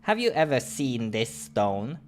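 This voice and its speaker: Scholarly male game adventurer, voiced by a man, serious and deliberate, with wide emphatic pitch swings. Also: very animated, slight background noise. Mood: intent, explaining.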